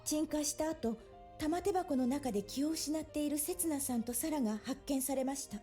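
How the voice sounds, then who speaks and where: Actress as young visual novel nurse: high, quick, very animated, polite yet mischievous, music behind. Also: female voice, natural voice. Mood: solemn. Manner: polite, expressive. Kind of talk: Japanese visual novel voice acting. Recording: game audio with music behind. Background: game music.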